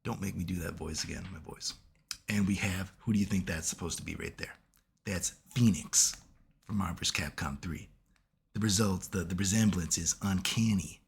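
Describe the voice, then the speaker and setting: A man, hoarse from being sick, giving casual analytical commentary as a video host and checking in with "right?" at nearly every turn. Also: deep voice, moderate pace. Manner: keeps asking "right?" as he lectures, swears casually.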